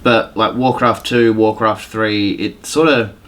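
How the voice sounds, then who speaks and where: A man talking casually as a podcast panelist, with a somewhat halting, hesitant delivery. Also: lowish voice, steady tone, fairly bright tone. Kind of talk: casual podcast chat about films.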